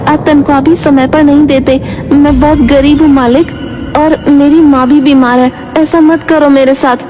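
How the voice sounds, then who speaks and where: A woman's voice: high-pitched, fairly even intonation, normal speed, warm tone, close to an everyday voice. A woman playing a poor, meek young servant boy in a cartoon, speaking in an earnest, plaintive way. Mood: pleading. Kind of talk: Hindi moral cartoon voice acting. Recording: music behind the voice.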